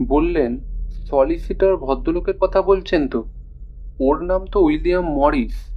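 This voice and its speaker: A man's voice: on the high side, slight background noise, close to an everyday voice. An actor playing an aggrieved, naive male shopkeeper in an audio drama, earnest and plaintive. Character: aggrieved, naive red-haired male shopkeeper pleading his case. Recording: slightly dull, muffled recording.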